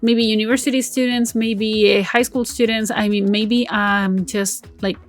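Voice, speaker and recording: A woman's voice: a little high, steady tone, unhurried. Woman, native Spanish speaker, light upper voice. Casual game-commentary voice-over, chatty and meandering, self-deprecating. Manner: rambling, chatty, many fillers, self-correcting, laughs at herself. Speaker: game build video creator.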